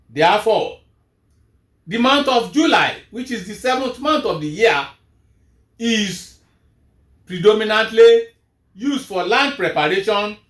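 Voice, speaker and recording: Slow, lively-voiced man teaching farmers. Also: high-pitched, echoey room. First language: Yoruba. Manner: measured, emphatic, rising then falling at each phrase. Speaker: farming show host. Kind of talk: scripted farm advisory talk.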